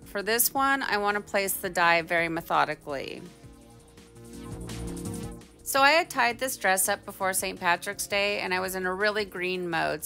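A woman's voice: on the low side, pushed and bright. A woman giving a chatty, informal instructional voice-over as a craft tutorial host. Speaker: tie-dye tutorial host.

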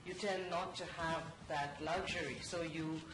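A woman on a conference panel giving a measured, articulate answer into a handheld microphone. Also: low voice, fairly even intonation. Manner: articulate, measured, says she has a loud voice. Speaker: panelist from an international organization. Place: conference room, seated on stage. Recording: roomy hall with background noise.

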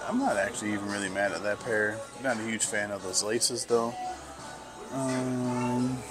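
A man vlogging casually as he browses sneaker stores in a mall, talking slowly and musing to himself with lots of fillers. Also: lowish voice, over loud music. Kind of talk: casual walk-through commentary.

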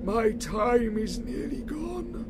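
A man voicing a tormented old male ghost dragging chains, with slow, drawn-out wailing and anguished cries, in a noisy audiobook recording. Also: very high voice, big pitch swings, pitched above the performer's own voice, full character voice. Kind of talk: audiobook reading.

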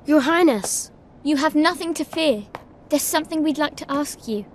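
Plucky young game hero, high voice, super earnest, lively. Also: female voice, normal speed, a little hiss or hum. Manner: eager, earnest.

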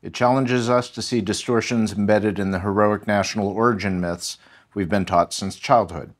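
A man with an American accent, a history professor speaking as an interview guest in a measured, articulate way. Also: lowish voice, fairly even intonation. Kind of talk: thoughtful interview talk.

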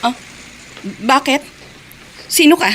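A woman's voice: sits high, takes it slowly, a little hiss or hum. A woman playing a panicky, guilty fugitive with a Filipino accent, agitated and melodramatic in a radio drama. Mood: panicked protest.

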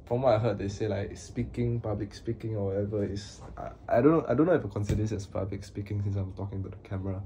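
A young man speaks to his camera in a small room, with a low, mellow voice that stays flat and monotone. He talks haltingly, with many fillers and long pauses. Faint room ambience sits behind him. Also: slight background noise. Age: young adult. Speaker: vlogger. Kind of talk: casual talk to camera.

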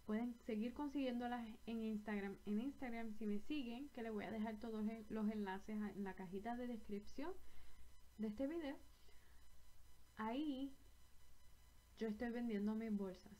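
A young Puerto Rican woman talks to her webcam in a small bedroom, her voice sitting a little high. She chats in a loose, rambling way, often stopping to correct herself mid-phrase. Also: normal speed, mellow, rough recording. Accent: Puerto Rican Spanish. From Puerto Rico. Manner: chatty, rambling, self-correcting. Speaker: knitting vlogger. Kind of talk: casual chat to camera.

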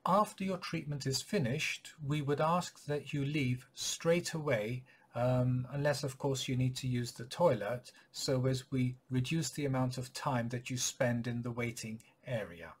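Husky-voiced man giving a polite announcement. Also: medium pitch, a little slow. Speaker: clinic practitioner addressing patients. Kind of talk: informational announcement to camera. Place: small home office or study, indoors.

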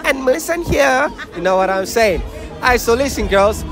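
A young man with a fairly high voice runs a playful vox-pop at night, joking and laughing between questions. He holds a phone up as a mic. Loud music and a chattering crowd fill the lounge around him. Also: animated, moderate pace. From Portugal. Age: young adult. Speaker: street interviewer. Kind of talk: casual vox-pop interview. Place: lounge bar at night, indoors. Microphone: handheld phone mic.

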